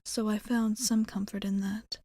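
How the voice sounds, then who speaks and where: A woman playing a gentle, protective female vampire in an ASMR roleplay, speaking softly and breathily with formal, old-fashioned courtesy. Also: medium pitch, level tone, moderate pace, dry recording, natural voice. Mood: soothing, apologetic. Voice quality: soft, breathy, often whispering.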